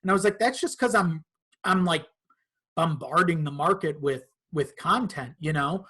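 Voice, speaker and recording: A man's voice: a little high, moderate pace, pushed and bright, husky. A man talking casually as a podcast guest, in an informal and slightly hesitant way. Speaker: podcast guest, content creator.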